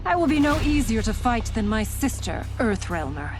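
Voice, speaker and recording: Loyal game princess: youthful, higher register, earnest, poised and formal. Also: female voice, very animated, moderate pace, music in the background. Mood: determined. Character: composed, loyal young warrior princess. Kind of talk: video game cutscene acting.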